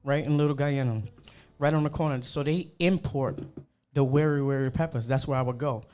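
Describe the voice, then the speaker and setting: A young man from New York, a cook, chatting casually and animatedly with slang and quick asides. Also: mid-range voice, steady tone, warm tone, clean tone. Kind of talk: casual chat.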